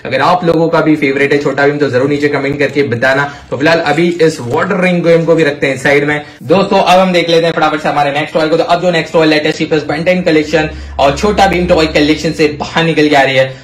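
A young adult man with an Indian accent presenting toys to children in an excited, exclamatory, emphatic way. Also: a little high, fast talker. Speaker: toy review channel host. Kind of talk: excited toy showcase commentary for children.

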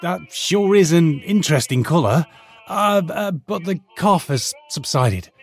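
An actor playing a nervous teacher who fakes a posh British accent, stammering and overly polite. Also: male voice, a little high, animated, a little slow, faint music behind. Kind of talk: audio drama acting.

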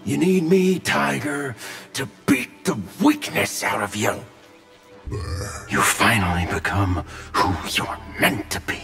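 An American man voicing an arrogant, menacing superhuman tyrant in a video game, deliberate and contemptuous, with a needy, unstable edge. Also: lowish voice, gravelly, fairly bright tone, soft background music, heavily acted. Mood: menacing, smug. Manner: slow, deliberate, contemptuous delivery. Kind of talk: dramatic video game acting.

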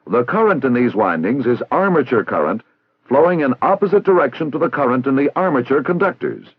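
Male narrator, American, for vintage 1960s instructional film; formal and didactic, husky edge, very dry lo-fi sound. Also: mid-range voice, big pitch swings, a little fast, no echo. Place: recorded voice-over.

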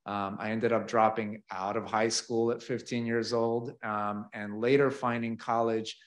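A man's voice: on the low side, flat delivery, unhurried. A man from Ukraine in his thirties, speaking on a panel into a handheld mic in a large event space, chatty and self-deprecating, laughing between points. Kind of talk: panel talk.

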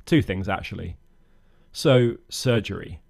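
A man's voice: on the low side, unhurried, creaky, a little mellow. A man narrating his own boat project in a scripted voice-over, dry and self-mocking. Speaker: channel host narrating a boat build.

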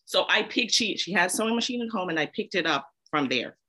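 A woman's voice: on the low side, expressive, fast, bright, forceful tone, breathy edge. A woman from Zambia, a podcast guest, telling her story casually and laughing often.

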